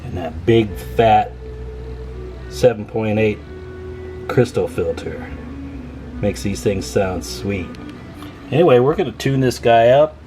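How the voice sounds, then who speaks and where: A man's voice: lowish voice, slow pace, pauses often, warm tone, soft background music, poor audio. A man giving a casual, chatty show-and-tell as a radio repairer and video host, folksy and enthusiastic.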